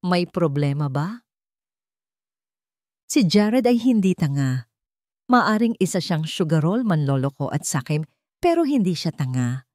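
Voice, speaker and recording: Low-pitched woman narrating a drama. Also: very animated, normal speed, darkish tone, no room sound, clean studio sound. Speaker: audiobook narrator. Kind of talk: dramatic scripted story narration.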